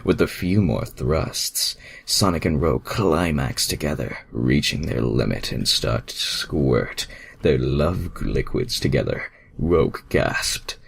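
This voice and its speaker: A man's voice: a bass voice, creaky, fairly bright tone, slight background noise. A man reading fan fiction aloud as a casual amateur narrator, stumbling over and misreading words.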